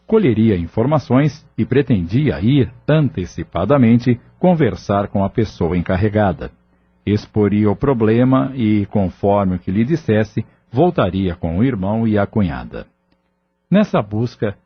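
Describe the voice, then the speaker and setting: A man's voice: fairly low pitch, breathy edge, no room sound. A man narrating a scripted audio novel as its storyteller. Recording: muffled lo-fi audio with music.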